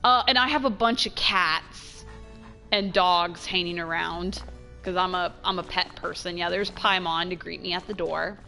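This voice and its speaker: A woman giving casual, chatty gameplay commentary as a streamer, expressive and trailing off into asides. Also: lowish voice, unhurried, vocal fry, faint music behind. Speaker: game streamer.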